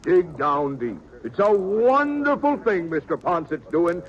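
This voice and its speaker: An actor playing a cheerfully pushy small-town reverend in an old radio western, briskly steamrolling with unctuous public speaking. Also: male voice, sits high, very animated. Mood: hearty, pushy. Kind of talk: 1950s radio western acting. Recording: dull vintage radio recording, crowd behind. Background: crowd.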